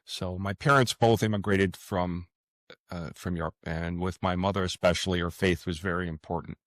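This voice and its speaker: A man talking casually as an interview guest, halting often and repeating words as he thinks out loud. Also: deep voice, fairly even intonation, no echo. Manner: hesitant, halting, with frequent pauses and repeated words.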